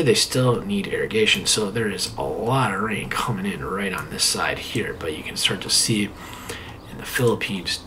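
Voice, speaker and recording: A man's voice: low-pitched, background noise. A man casually walking through maps on a screen share, rambling with many fillers and muttering to himself as he clicks. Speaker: video presenter.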